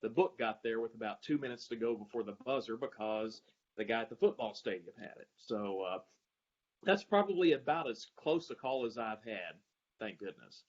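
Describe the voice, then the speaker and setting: A man, a sports announcer answering interview questions in a halting way, searching for his words. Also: mid-range voice, lively intonation, lo-fi sound. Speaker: guest, arena public address announcer.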